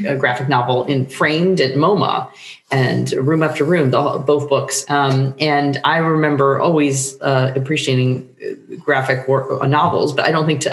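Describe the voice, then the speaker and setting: Middle aged woman chatting in an interview, kinda rambly and animated, roomy echo. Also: rumbling low. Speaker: guest playwright. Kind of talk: casual interview talk. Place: book-lined room at home, indoors. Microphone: shared desktop microphone on video call. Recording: roomy home recording.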